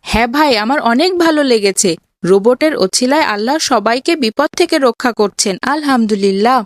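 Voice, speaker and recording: A woman plays a kind, devout little cartoon boy with a high voice. He speaks earnestly and explains things patiently, in a close, dry recording. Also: animated, normal speed, no room sound, natural voice. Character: pious, kind young boy who owns a robot. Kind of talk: children's cartoon voice acting.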